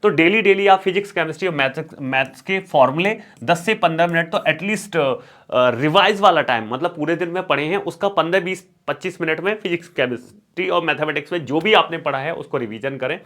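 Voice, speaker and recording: Guy coaching students for exams, kinda high voice, repeats stuff to drive it home, drops english words in. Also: fairly quick, fairly bright tone. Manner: instructional, repeats key words for emphasis, mixes English terms into Hindi. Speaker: exam coaching teacher. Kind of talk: exam strategy lesson with course promotion.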